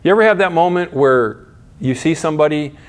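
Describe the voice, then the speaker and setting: A middle-aged man giving a sermon in a conversational way, asking rhetorical questions, restarting his sentences and shifting between quiet asides and raised points. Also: on the high side, brisk. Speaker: pastor.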